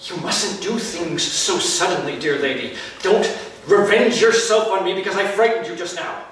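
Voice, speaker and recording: Male stage role: actor as frank, unheroic professional soldier, high-pitched and courteous, heard distantly in a very echoey theater. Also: normal speed, fairly bright tone. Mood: grateful, wry. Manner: theatrical, courteous, matter-of-fact. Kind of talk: live stage acting of a period comedy. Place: indoor stage in an arts center, recorded from afar. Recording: distant, very echoey theater recording, faint noise.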